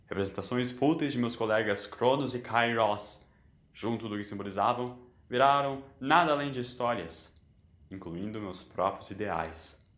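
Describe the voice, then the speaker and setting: A man's voice: lowish voice, a bit bright, reverberant. A man voicing a weary, bitter immortal alien in human form for an audio story, solemn and anguished. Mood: bitter, resigned.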